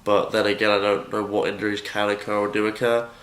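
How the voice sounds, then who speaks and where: A man's voice: fairly low pitch, little pitch movement, fast talker, a bit bright. A nineteen-year-old man answering casually, rambling with "like" and "kind of". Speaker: interviewee. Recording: echoey room.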